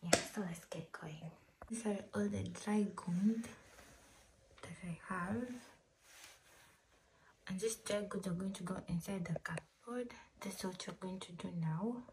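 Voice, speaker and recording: Croaky, soft-spoken woman vlogger. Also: medium pitch, unhurried, a little hiss or hum, poor audio. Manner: soft, half-whispered, many "like" fillers. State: croaky from just waking up. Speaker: lifestyle vlogger. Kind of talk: casual vlog voice-over.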